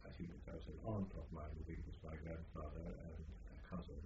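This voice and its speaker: An older man from Hungary giving an oral history interview, speaking in a measured, reflective way with frequent pauses. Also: extremely low pitch, flat delivery, echoey room, highs cut off, background noise.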